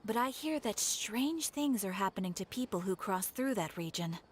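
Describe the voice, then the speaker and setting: A woman voicing an earnest quest-giver in a video game, formal and measured in her delivery. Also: mid-range voice, lively intonation, a little hiss or hum, natural voice. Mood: grave, earnest. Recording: game music behind.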